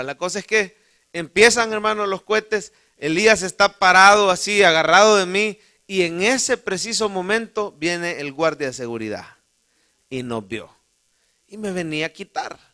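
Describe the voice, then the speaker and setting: A man's voice: high-pitched, normal speed, pushed and bright. A man with a Salvadoran accent preaching in church, telling a folksy, humorous personal story and calling his listeners brother. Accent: Salvadoran Spanish. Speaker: pastor. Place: church sanctuary.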